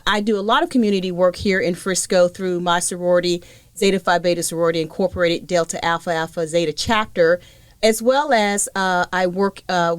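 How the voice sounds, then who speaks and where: A woman's voice: on the low side, smooth, no echo. A woman from Liberia, a guest on a podcast panel, speaking earnestly and with emphasis about her work. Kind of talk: casual panel conversation.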